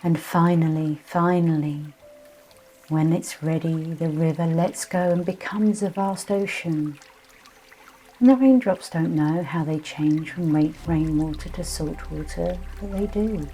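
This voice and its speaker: A woman leading a guided meditation and visualization in a gentle, soothing, unhurried way, with many pauses. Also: low-pitched. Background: soft music with trickling, dripping water.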